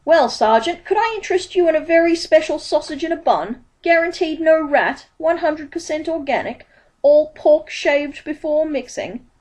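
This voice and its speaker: A woman voicing a shifty, eager male pie seller with high, quick sales patter. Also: expressive, a little mellow. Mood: wheedling.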